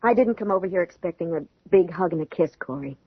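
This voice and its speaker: An actress playing a hurt, earnest fiancée in an old-time radio drama, emotional and imploring. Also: female voice, fairly low pitch, animated, quick pace, slightly rough, no room sound. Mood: hurt, awkward. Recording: muffled vintage radio broadcast recording.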